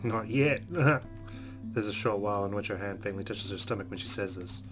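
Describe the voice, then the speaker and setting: Low-voiced man dramatically narrating a game. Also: normal speed, over music. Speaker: let's play host. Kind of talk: expressive read-aloud game narration with reactions.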